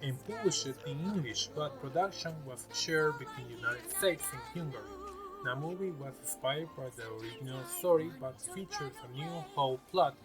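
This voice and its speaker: A man presenting scripted narration in a halting way, sometimes stumbling over words. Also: medium pitch, expressive, over music, poor audio.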